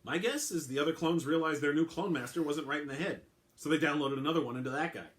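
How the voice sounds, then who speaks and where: A man's voice: on the high side, fast talker, a bit bright, lightly acted. A man playing a weary, blunt female police detective. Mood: weary, sardonic. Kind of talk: dramatic story reading.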